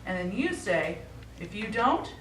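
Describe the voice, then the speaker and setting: A woman's voice: medium pitch, sing-song, measured pace. Woman acting an exasperated grown daughter, echoey stage. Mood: joking. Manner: insistent, pointed questioning. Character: exasperated, caring adult daughter of a forgetful father. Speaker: stage actor. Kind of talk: live one-act stage play acting. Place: small theatre stage. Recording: echoey theatre, distant mic, faint noise.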